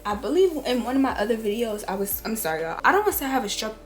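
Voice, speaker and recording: A woman in her twenties with a raspy, rough voice from a cold, giving casual advice straight to her viewers. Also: fairly high pitch, big pitch swings, racing, soft background music. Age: 20s. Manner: chatty, direct, addresses viewers as y'all and girl. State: hoarse from a cold. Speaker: beauty and lifestyle vlogger. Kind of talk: casual girl-talk advice.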